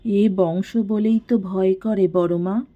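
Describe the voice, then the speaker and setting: A woman voicing a timid but clear-spoken young daughter-in-law in an audio story, polite and earnest. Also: medium pitch, steady tone, takes it slowly, phone-quality sound, natural voice. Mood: earnest, restrained.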